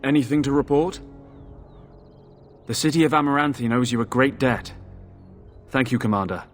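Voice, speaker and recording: An actor playing a dutiful, earnest city guard officer in a video game, speaking in a formal, measured way. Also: male voice, mid-range voice, fairly even intonation, faint music behind, lightly acted. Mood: earnest, grateful. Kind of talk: video game character acting.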